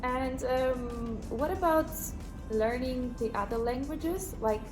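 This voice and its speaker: A woman's voice: high voice, takes it slowly. Curious woman interviewer in an echoey room. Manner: curious, encouraging with brief acknowledgements. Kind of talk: casual interview questions. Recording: echoey room with music behind.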